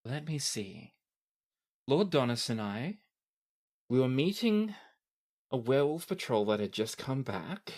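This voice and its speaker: A man's voice: mid-range voice, very animated, a little slow, pauses often, a little mellow. A man voicing a dazed, courteous young fanged man just brought back to life, hesitant and bewildered, in a tabletop game. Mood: hesitant, concerned. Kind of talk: improvised tabletop roleplay.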